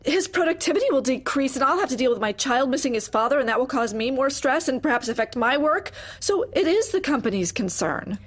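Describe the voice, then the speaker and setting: A woman playing a downtrodden employee and grieving mother in a dark audio drama, answering in a terse, guarded way. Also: high voice, lively intonation, a little fast, few pauses. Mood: anxious, pleading.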